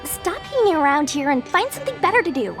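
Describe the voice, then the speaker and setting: Super high girly guard gal from a video game, chummy but dutiful, voice all over the place, music playing. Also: sing-song, fast, full character voice. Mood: mock stern.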